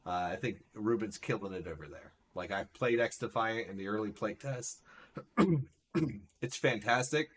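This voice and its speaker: A man's voice: on the low side, normal speed. A man hosting a live stream, chatting casually and saying "like" and "right?" a lot. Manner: relaxed, says "like" and "right?" often. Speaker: streamer host.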